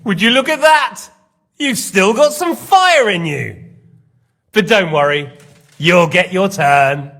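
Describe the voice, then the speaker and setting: A man's voice: high-pitched, animated, slow pace, pauses often, pushed and bright, exaggerated voice. A man playing a smug, sadistic lord in a game, interrogating with mocking, theatrical, mock-polite menace. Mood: coolly menacing. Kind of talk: dramatic game acting.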